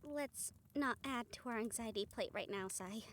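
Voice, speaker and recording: A woman acting a friendly, supportive young woman in an audio roleplay, speaking in a gentle, earnest manner. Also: on the high side, moderate pace, slight background noise, natural voice.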